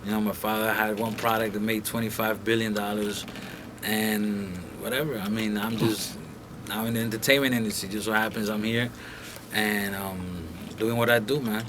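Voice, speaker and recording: A man's voice: on the low side, fairly even intonation, moderate pace, background noise. A young man from Colombia talking casually and bluntly as an interview guest in a recording studio, peppering his speech with profanity and filler phrases.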